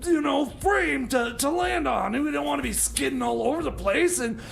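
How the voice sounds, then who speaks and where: A man's voice: very high-pitched, animated, normal speed, vocal fry, exaggerated voice. A man voicing a flustered, stubborn time machine mechanic who stammers and rambles patriotically in a comedy radio sketch. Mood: flustered, defensive.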